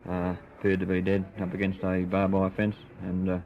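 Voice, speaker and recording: Low, flat-voiced ambulance man. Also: moderate pace. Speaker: ambulance driver. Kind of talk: matter-of-fact eyewitness interview. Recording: dull old location tape, faint noise.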